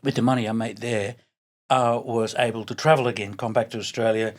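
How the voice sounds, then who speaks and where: An older man in his sixties with a Belgian accent chats as an interview guest. His voice sits at an ordinary pitch, and he talks loosely, repeating words while he searches for them and laughing easily. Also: fairly even intonation, a little fast. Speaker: podcast guest. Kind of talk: casual interview chat.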